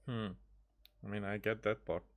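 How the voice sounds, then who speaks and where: A woman's voice: extremely low pitch, fairly even intonation, moderate pace. A woman giving casual, dry and terse commentary as she plays a game. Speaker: game streamer. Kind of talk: casual commentary over gameplay.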